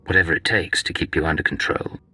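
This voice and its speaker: A confident secret agent in a video game. The voice is deep but very bright and forceful, with curt, sardonic phrasing over faint music. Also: male voice, steady tone, fast talker, husky, heavily acted. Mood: dry, defiant. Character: dry, confident male secret agent of an empire. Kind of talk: video game dialogue acting. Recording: music behind the voice.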